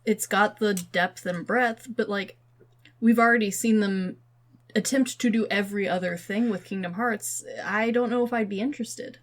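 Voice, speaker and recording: A woman's voice: mid-range voice, normal speed. A woman co-hosts a casual podcast in a mid-range voice. She talks informally, with plenty of slang and blunt opinions. It sounds like friends in discussion. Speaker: podcast host.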